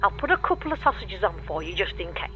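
A woman's voice: lowish voice, very animated, fast talker, sharp tone, faint music behind. A woman playing a middle-aged, nagging mother, fussy and breezily dismissive, heard through a call filter in a scripted comedy audio drama. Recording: dull lo-fi call line.

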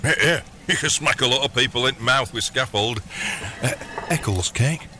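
A man's voice: mid-range voice, moderate pace, lightly acted. An actor playing a bumbling, evasive middle-aged father turned crime boss in an audio comedy, stammering and hesitating as he tries to placate. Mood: flustered, evasive. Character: bumbling, evasive long-absent dad turned gangster boss. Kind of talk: audio comedy drama acting.

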